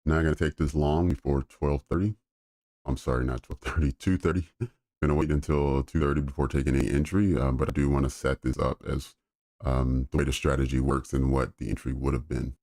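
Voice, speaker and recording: A man with a very deep voice streaming live, talking through trades in a relaxed way with fillers. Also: fairly quick, dry recording, studio quality. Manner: relaxed, thinking aloud with fillers. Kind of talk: live trading commentary.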